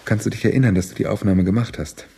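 An actor playing an agitated, blunt and determined spaceship crewman in a radio drama, with a gravelly, husky voice. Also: lowish voice, steady tone, fast talker, dark tone, full character voice. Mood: urgent, blunt. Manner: blunt, urgent. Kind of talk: German radio drama acting.

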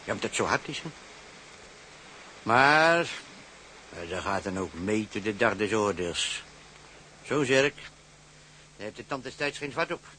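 Casting: a fussy rural gravedigger and headstone seller, lowish voice, wheedling and repetitive, faint rumbling noise behind. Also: male voice, expressive, a little slow, long pauses, pushed and bright, rough recording. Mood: chatty, showing around. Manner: chatty, hesitant, wheedling salesman, repetitive. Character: fussy, money-minded village gravedigger selling headstones.

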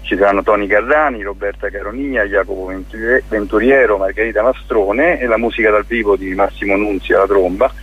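A man speaks as a radio interview guest in a low, husky voice. He explains things informally and haltingly, searching for his words. The sound is dull and lo-fi. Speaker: interview guest, photography teacher and event organiser.

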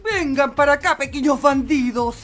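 Woman acting high, shrill wicked villainess. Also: moderate pace, natural voice. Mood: furious. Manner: menacing, gloating, cackling. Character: cruel, wicked, bullying old mother, worst woman in the world. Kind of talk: radio play acting. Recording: music behind the voice.

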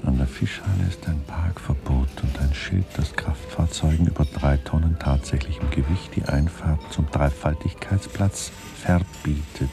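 An actor as a detached narrator, giving clipped, deadpan literary narration in a radio play. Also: male voice, extremely low pitch, normal speed, vocal fry, hardly any pauses, over music. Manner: deadpan, clipped, fragmentary phrasing. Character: detached male narrator minutely observing people.

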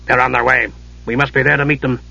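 A man's voice: mid-range voice, flat delivery, quick pace, vocal fry, bright, forceful tone, muffled recording, full character voice. A man voicing a blunt, irritable male dwarf who mutters and growls, as in a fantasy audiobook. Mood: impatient grumbling.

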